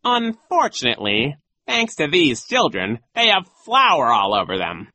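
A sly, gloating villain posing as a gym teacher. He drags his words out slowly and theatrically, pitched well above a plain voice and swooping widely. It is storybook menace, loud and relishing. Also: wildly swooping pitch, no room sound, heavily acted. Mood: smug, mocking. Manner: slow, drawn-out, theatrical delivery.

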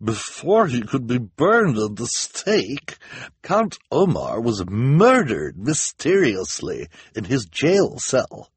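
A man voicing a gossipy storybook woman who relishes bad news, in an excitable, dramatic, drawn-out way. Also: mid-range voice, husky, no room sound, voice pushed up, full character voice. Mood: gleeful.

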